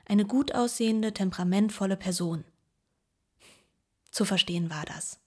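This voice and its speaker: An actress playing a former housemaid who gives measured, reflective testimony about her employers in a radio play. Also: medium pitch, mellow, close to an everyday voice. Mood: reflective, understanding.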